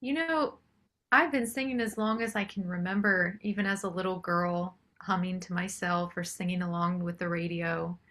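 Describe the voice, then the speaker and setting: A woman's voice: on the low side, moderate pace, fairly bright tone. A woman chatting casually as a singer-songwriter guest in an interview.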